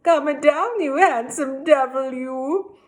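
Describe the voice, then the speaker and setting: A woman's voice: sits high, slow, pitched above the performer's own voice. A woman voicing lovestruck village ladies in a storybook, calling out shrilly and eagerly. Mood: coaxing.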